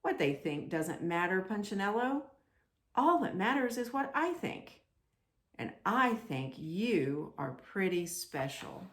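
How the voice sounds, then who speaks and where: A woman voicing a kindly, big bearded old woodcarver in a children's storybook, gentle, slow and thoughtful. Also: mid-range voice, sing-song, reverberant. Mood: reassuring. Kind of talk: children's storybook read-aloud.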